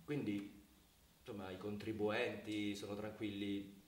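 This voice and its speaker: A man's voice: lowish voice, little pitch movement, a little slow, close to an everyday voice. An actor playing a pressured, persuasive male mayor who schemes and pleads, pressing with questioning prompts in a naturalistic radio drama. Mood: measured pressure. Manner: insistent, tagging sentences with questioning prompts. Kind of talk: naturalistic Italian radio theatre acting. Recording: echoey room, distant mic, faint noise.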